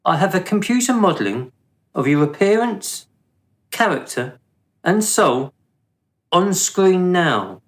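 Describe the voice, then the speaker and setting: A man's voice: high voice, big pitch swings, slow, pauses often, full character voice. A man playing a smug, officious afterlife bureaucrat in a radio play, condescending and drily mocking.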